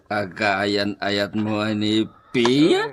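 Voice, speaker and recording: A man's voice: fairly low pitch, drawn-out words. A man playing a teasing, amused buddy who drawls and hums, in an Ilocano radio comedy drama. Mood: sly, knowing.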